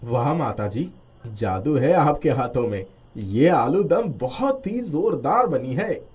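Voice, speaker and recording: A man voicing a respectful, eager village headman in an animated moral story, courteous and expressive. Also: mid-range voice, slow, slightly rough. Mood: pleased, courteous.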